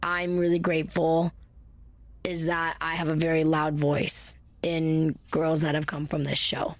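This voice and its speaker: A woman's voice: low voice, completely flat delivery, unhurried, dry recording. A woman speaking earnestly and reassuringly in an interview clip. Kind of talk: interview conversation.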